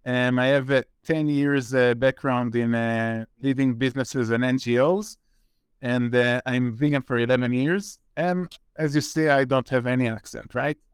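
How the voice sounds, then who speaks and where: A man's voice: medium pitch, fairly even intonation, normal speed, clean tone, dry recording. Hesitant man with dry humor. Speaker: company co-founder. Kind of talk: panel self-introduction.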